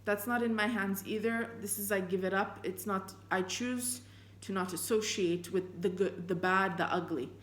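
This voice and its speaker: A woman whose first language is Armenian, reflecting earnestly on faith and reading passages of scripture aloud, thinking out loud with fillers and restarts. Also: mid-range voice, steady tone, normal speed. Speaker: spiritual vlogger. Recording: echoey room.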